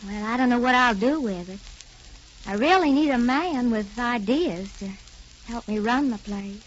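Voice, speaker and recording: A woman's voice: fairly high pitch, moderate pace, lightly acted. A woman playing an earnest, ladylike young plantation daughter in a 1940s radio drama. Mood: coy. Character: young Southern plantation daughter, spirited sweetheart. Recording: dull, noisy 1940s radio recording.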